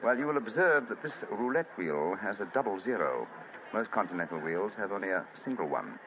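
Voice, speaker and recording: An actor playing an urbane, dryly witty English gentleman detective in an old-time radio drama. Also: lowish voice, big pitch swings, brisk. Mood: amused, dry. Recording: muffled, noisy vintage radio recording.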